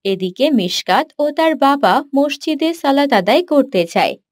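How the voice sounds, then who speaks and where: Slow, high-pitched female story narrator. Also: lively intonation, no room sound, studio quality. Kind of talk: scripted children's story narration.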